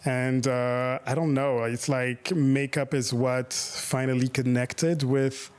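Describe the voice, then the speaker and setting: A man's voice: mid-range voice, little pitch movement, slow pace, darkish tone, clear, resonant tone. A man in his thirties, born in Rwanda and raised in Belgium, talking as a guest in a casual interview. He talks in a reflective way, with many fillers and restarts. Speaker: guest, makeup artist and photographer.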